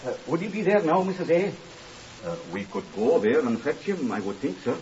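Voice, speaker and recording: An actor playing a sceptical, dogged police assistant in a 1950s radio drama. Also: male voice, fairly high pitch, big pitch swings, a little fast, a little mellow. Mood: earnest. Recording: dull, hissy, distant 1950s radio recording.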